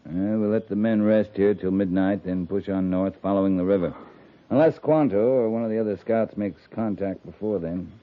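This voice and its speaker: An American man playing a seasoned, calmly stern cavalry captain in a 1950s radio drama, speaking in a measured, clipped, authoritative way. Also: on the low side, natural voice. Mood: sober, measured. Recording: muffled, noisy 1950s radio recording.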